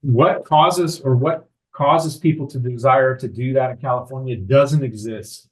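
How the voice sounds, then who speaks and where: A man, an attorney, explaining legal matters in a calm, measured way during a podcast conversation. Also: medium pitch, poor audio. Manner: measured, explanatory, frequent "right?" tags. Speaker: estate attorney.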